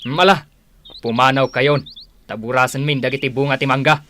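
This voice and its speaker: A man's voice: medium pitch, steady tone, moderate pace, fairly bright tone, slight background noise, close to an everyday voice. A man playing a stubborn, greedy, domineering older brother in a radio drama, with an Ilocano accent. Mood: threatening.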